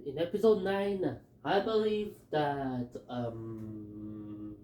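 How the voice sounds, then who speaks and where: A man with a Filipino accent talking through his fan theories in a halting, hesitant way, with many fillers. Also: medium pitch, steady tone, mellow, echoey room, low-quality recording. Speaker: fan commentator. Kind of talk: casual theory talk.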